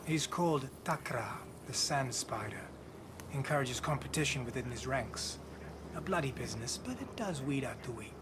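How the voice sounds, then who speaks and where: A man's voice: medium pitch, animated, background noise, lightly acted. Man as a hardened, dry-spoken mercenary leader. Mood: dry, wry. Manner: dry, measured, pragmatic. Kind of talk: video game cutscene acting.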